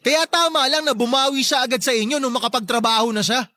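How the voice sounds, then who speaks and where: A man's voice: very high voice, steady tone, fast, sharp tone, no room sound, clean studio sound. A young man playing a resentful, neglected grown son in a radio drama, plaintive and reproachful but respectful. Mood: hurt, reproachful. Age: young adult. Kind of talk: emotional radio drama acting.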